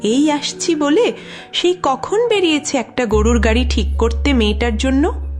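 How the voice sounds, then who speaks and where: A woman playing a fussy, scolding, worried village mother in a horror cartoon, theatrical in delivery. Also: high voice. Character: nagging, worried village mother, exasperated with husband. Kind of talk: dramatised horror cartoon dialogue.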